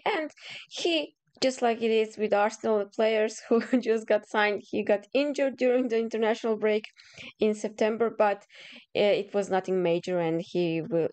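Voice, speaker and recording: A woman's voice: mid-range voice, a little mellow, clear, resonant tone. A woman from Croatia with a Croatian accent, a non-native English speaker presenting a football transfer review to camera, partly reading her notes, nervous and apologetic and often laughing at herself. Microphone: camera at home, simple setup.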